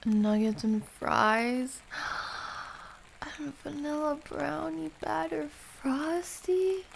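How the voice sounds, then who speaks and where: A soft-spoken woman chats to her ASMR stream at a very slow, drifting pace. Her voice sits a little high, with a creaky edge. She is playful and affectionate, over faint background noise. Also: fairly even intonation, low-quality recording. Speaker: ASMR livestream host. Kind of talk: ASMR, casual chat with live viewers.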